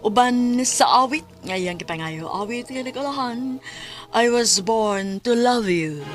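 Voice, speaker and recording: A woman with a Cebuano Filipino accent reading a scripted radio drama announcement in a deliberate, formal way. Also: fairly high pitch, animated. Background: music.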